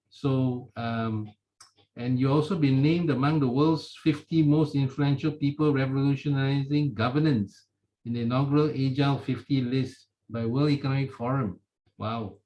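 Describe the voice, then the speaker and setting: A man's voice: mid-range voice, dark tone. A man hosting a podcast interview, introducing his guest effusively and interjecting with admiration. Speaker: podcast host. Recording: slightly dull, lo-fi sound.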